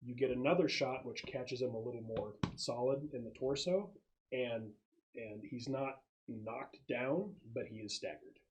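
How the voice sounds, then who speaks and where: A man's voice: mid-range voice, measured pace, low-quality recording. Man running a tabletop game, conversational. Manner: conversational, explanatory. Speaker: game master. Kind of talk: explaining rules and narrating a tabletop game.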